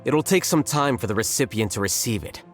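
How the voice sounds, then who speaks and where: Guy voicing a calm brainy game hero, dry and precise, medium pitch, music going behind him. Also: brisk, close to an everyday voice. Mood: calm, confident. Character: calm, coolly logical young scholar hero. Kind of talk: video game voice acting. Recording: background music under dialogue.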